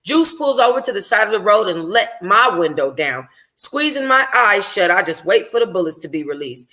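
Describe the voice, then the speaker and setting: A woman reading a first-person novel aloud in an expressive, informal way. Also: medium pitch, normal speed. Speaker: audiobook reader, book club host.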